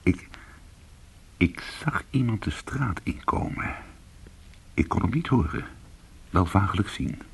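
An actor playing a weary, hard-boiled former cat burglar, telling his story in an intimate, brooding and deliberate way in a radio play. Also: low voice, lively intonation, creaky, breathy edge, heavily acted. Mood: hushed, suspenseful.

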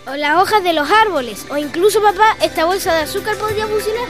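A woman's voice: very high voice, fast, fairly bright tone, soft background music. A woman playing a curious, outspoken little Chilean boy in a radio drama. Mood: challenging.

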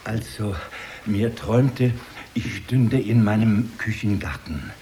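An actor playing a humble, nervous, long-winded poor Russian merchant in a children's radio play. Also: male voice, fairly low pitch, warm tone, a little hiss or hum. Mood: meek, rambling. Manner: long-winded, stammering when hurried.

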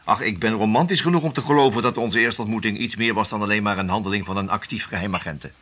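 A man's voice: on the low side, quick pace, noisy background, lightly acted. An actor in a Dutch radio play, playing a genial, romantic seasoned male adventurer who leads in an informal way. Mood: unsettled, tender.

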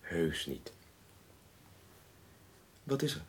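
An actor in a Dutch radio drama playing a kind, patient young gentleman with a gentle, indulgent manner. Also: sits low, very animated, extremely slow, breathy edge, full character voice.

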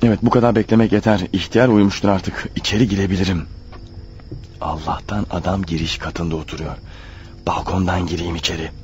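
A man's voice: low voice, fairly even intonation, a little fast, darkish tone, lightly acted. An actor in a radio play voicing a desperate, nervous man turned amateur burglar, hesitantly talking to himself. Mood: tense, furtive. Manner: hesitant, muttering to himself, rehearsing and exclaiming. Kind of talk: radio play monologue, thinking aloud. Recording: dull lo-fi radio drama over music.

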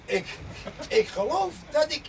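A man's voice: very high voice, very animated, a little slow, background noise, full character voice. An actor playing a tipsy, teasing village man who stammers drunkenly in a Dutch radio play. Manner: drunken stammering, slurred hesitations. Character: tipsy, teasing, chatty male village friend.

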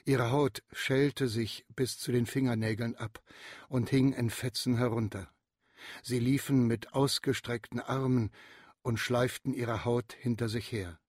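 A man acting as a disaster survivor who narrates gravely in a radio drama, with a husky voice. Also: medium pitch, fairly even intonation, darkish tone, no room sound, clean studio sound, close to an everyday voice. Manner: slow, grave delivery. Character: male disaster survivor recounting horrors, grave.

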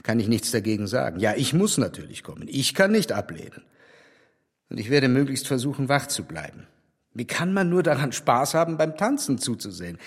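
An actor playing a dry, reluctant, curmudgeonly gentleman in a radio drama, with a husky voice. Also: medium pitch, big pitch swings, fairly quick, big-room sound. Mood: grudging. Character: grumbling, dry, reluctant gentleman who dislikes balls.

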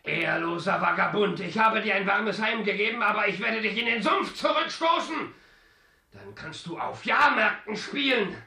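An actor playing a betrayed, furious middle-aged theatre master and husband in a radio play, declamatory and indignant. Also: male voice, high-pitched, vocal fry, bright, forceful tone, far from the mic, heavily acted. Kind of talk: German radio play acting. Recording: roomy, noisy radio drama recording.

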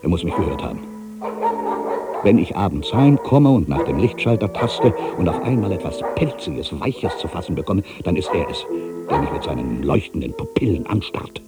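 Casting: irritable ageing writer, radio drama monologue. Very deep bass, animated inflection, brooding and theatrical. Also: male voice, moderate pace, loud music behind the voice. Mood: irritated, aggrieved. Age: older. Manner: theatrical, brooding, shifting from exclamation to hushed asides. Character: ageing writer, musing, irritable, suspicious, quietly superstitious. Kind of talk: literary radio play interior monologue.

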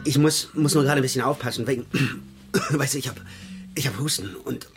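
An actor playing a nervous, evasive debtor in a radio crime drama, rambling hesitantly through placating excuses. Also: male voice, mid-range voice, fairly quick, lightly acted. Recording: music clearly behind the voice.

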